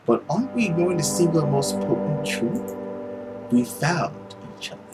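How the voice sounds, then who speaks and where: A man voicing a suave, mocking, ancient villain from a visual novel, with a theatrical, drawn-out and sardonic delivery. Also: on the high side, sing-song, over loud music. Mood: smooth persuasion.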